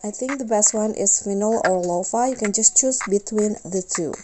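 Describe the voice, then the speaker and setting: A woman narrating a casual step-by-step software tutorial as its host. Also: mid-range voice, normal speed, over music.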